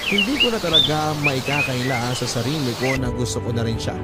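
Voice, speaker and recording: Man as medium-pitched narrator of troubled love confessions. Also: natural voice. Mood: yearning, conflicted. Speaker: story narrator. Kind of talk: scripted first-person dramatic narration. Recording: loud music under the voice.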